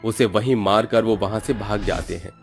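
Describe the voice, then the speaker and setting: Low-voiced man narrating a story. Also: fairly even intonation, normal speed, creaky, soft background music. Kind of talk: scripted cartoon story narration.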